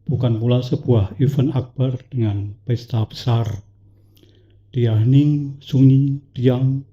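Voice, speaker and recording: Measured man reading a poetic talk. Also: medium pitch, mellow, lo-fi sound. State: has a cough. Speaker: speaker at a talk event.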